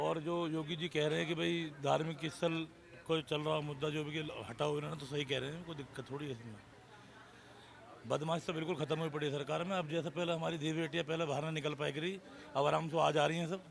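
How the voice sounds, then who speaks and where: A man's voice: on the high side, steady tone, brisk, creaky. A man giving a casual opinion on the street, arguing with rhetorical questions. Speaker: member of the public. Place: outdoors on a street.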